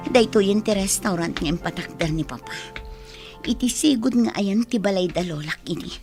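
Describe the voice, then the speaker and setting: A woman's voice: mid-range voice, animated, normal speed, gravelly, a little mellow, faint music behind. A woman playing a headstrong, argumentative young wife and daughter in an Ilocano radio drama, with an Ilocano accent. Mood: curious, suspicious. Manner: insistent, argumentative.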